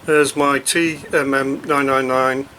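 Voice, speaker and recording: A man giving a casual, unscripted unboxing commentary, thinking aloud in a halting, hesitant way as he reviews a product. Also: mid-range voice, level tone, bright, forceful tone, a little hiss or hum.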